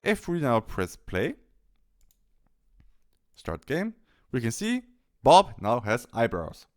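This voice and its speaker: A man presenting a software tutorial, explaining step by step in a halting instructional walkthrough. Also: medium pitch, wildly swooping pitch. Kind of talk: instructional screen-capture walkthrough.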